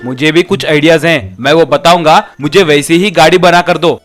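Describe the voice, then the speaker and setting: An irritable, squabbling young brother, acted by a man, complains in a fairly high voice in a comic cartoon story. There is light music behind him. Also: moderate pace, fairly bright tone, natural voice. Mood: eager, demanding.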